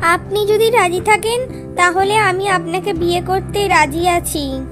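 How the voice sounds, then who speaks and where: A woman playing a meek, dutiful young village girl in a cartoon fairy tale, polite and gentle in manner. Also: extremely high pitch, fairly even intonation, measured pace, over music. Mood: shy.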